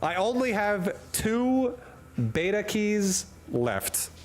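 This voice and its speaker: A man's voice: high voice, sing-song, extremely slow. Jokey rambling male game streamer. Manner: rambling and jokey, reads chat aloud and laughs often. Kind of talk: casual live-stream chat while gaming. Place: hot room at home.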